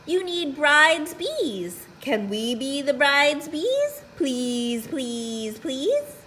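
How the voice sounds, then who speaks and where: An excitable storybook bee, acted by a woman reading aloud. The voice is high and swooping, full of eager, demanding pleas. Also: extremely slow, background noise, full character voice. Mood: excited, pleading. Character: excitable, pleading chorus-like bee wanting to join in. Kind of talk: children's picture-book character reading.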